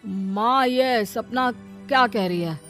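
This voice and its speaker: A woman's voice: fairly high pitch, slow pace, fairly bright tone, faint music behind. A woman voicing a plain-spoken village man in a moral story. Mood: questioning. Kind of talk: Hindi moral-story acting.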